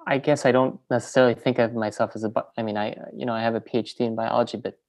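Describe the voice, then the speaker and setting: A woman filmmaker and teacher, in a relaxed interview, who talks in loose, rambling sentences full of fillers and restarts. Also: extremely low pitch, very fast. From French Venezuelan, raised in New York. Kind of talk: casual interview conversation.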